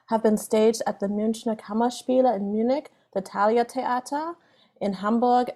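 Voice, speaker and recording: A woman hosting an online event, reading out a prepared introduction of an author. Her voice has a mellow, unsharp tone and a mid-range pitch, and she moves briskly through the text. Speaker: event host introducing a guest.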